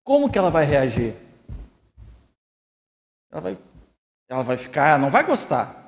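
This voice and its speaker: Brazilian male, high register, informal lecturer who illustrates with examples, recorded with long hall reverb. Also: big pitch swings, normal speed. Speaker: speaker leading a group discussion.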